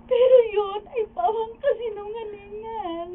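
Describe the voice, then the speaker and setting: Very high female voice, actress as distressed loyal wife, plaintive and weepy, slow drawn-out delivery, radio drama. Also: phone-quality sound. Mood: tearful, aggrieved. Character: distressed loyal wife confiding tearfully in a friend. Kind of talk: radio drama acting in Tagalog.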